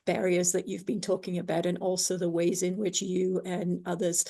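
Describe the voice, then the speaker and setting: A woman moderating a panel on a video call, sharing a personal reflection in a measured way. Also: on the low side, level tone, warm tone. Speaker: moderator, host.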